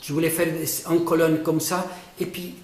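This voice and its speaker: A man, a painter who is not a native French speaker, explaining his own painting in a halting way with many fillers and restarts. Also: fairly high pitch, little pitch movement, darkish tone, roomy sound. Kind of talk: informal spoken explanation.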